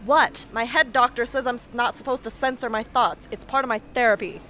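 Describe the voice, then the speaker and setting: Woman acting young female victor, sardonic, blunt, unfiltered, higher-pitched, radio drama. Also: fairly even intonation, brisk, pushed and bright, slight background noise. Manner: dry, brash, unfiltered.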